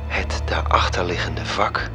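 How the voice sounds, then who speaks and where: An actor narrating a radio drama as a storytelling angel reporting events. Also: male voice, low voice, little pitch movement, a little slow, gravelly, whispered. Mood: measured, suspenseful. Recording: music under the voice.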